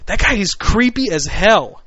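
A man co-hosting a radio show, bantering excitedly on air and swearing. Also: high voice, measured pace, a crowd in the background. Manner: excited, swearing, dragging words out. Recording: muffled lo-fi radio audio.

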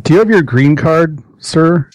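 A man joining a prank call, taunting in a deadpan way. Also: fairly high pitch, measured pace, a loud crowd, low-quality recording.

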